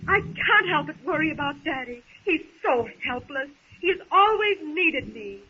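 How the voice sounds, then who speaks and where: Very high, bright young jungle heroine. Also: female voice, animated, moderate pace. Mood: worried. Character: anxious, refined young woman stranded in the jungle. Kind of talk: 1930s radio acting. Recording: muffled, tinny 1930s radio recording.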